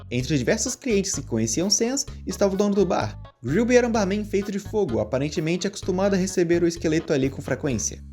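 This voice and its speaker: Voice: medium pitch, mellow. A narrator reading a scripted story in a storytelling style. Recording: music behind the voice.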